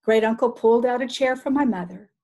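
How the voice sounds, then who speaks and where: A woman reading a children's picture book aloud as an expressive storyteller. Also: fairly high pitch, fairly quick, lo-fi sound.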